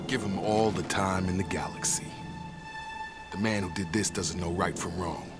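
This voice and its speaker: A low male voice, an actor as a principled soldier in a sci-fi video game. He speaks bluntly, with a bitter edge. Music runs clearly behind him. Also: steady tone, moderate pace, close to an everyday voice. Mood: angry, hurt. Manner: blunt, accusing. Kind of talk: video game dramatic acting.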